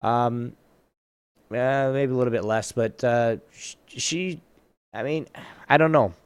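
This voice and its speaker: A man's voice: mid-range voice, slow pace, clear, resonant tone, no echo, lo-fi sound. Male podcast co-host, casual and slangy, blunt rambler, very dry recording. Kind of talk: casual chat. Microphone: online voice chat mic.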